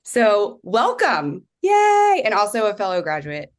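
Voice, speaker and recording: A woman's voice: medium pitch, wildly swooping pitch, measured pace. A woman co-hosting a podcast, introducing a guest in a bubbly, chatty way and correcting herself as she goes. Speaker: podcast co-host.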